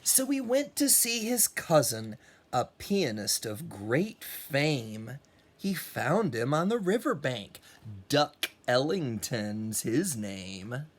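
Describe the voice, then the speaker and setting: A man's voice: mid-range voice, very animated, slow pace. A man who teaches music, reading a children's storybook aloud expressively with casual, encouraging asides.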